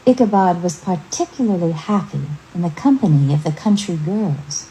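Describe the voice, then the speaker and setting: A woman reading a scripted story as narrator, with measured, expressive dramatic delivery. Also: fairly low pitch, a little hiss or hum, low-quality recording.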